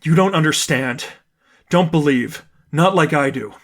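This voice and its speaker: A man's voice: a little high, measured pace, fairly bright tone. A man voicing an intense, devout young vigilante woman who speaks in terse, clipped phrases, in a dramatized story reading. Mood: wounded, seething. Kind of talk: dramatized fan fiction reading.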